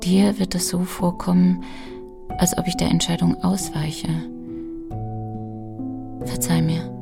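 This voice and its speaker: Devoted unsure woman reading her love letter, flat and fry-heavy, hushed, halting, radio play with guitar behind. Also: fairly low pitch, full character voice. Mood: hesitant, pleading. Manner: halting, intimate, partly whispered. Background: guitar music.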